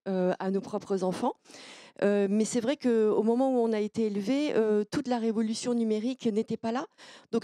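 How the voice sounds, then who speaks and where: A woman's voice: a little high, warm tone, no echo. A woman speaking as a parent on a panel, thoughtful and hesitant, with many fillers. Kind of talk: panel discussion.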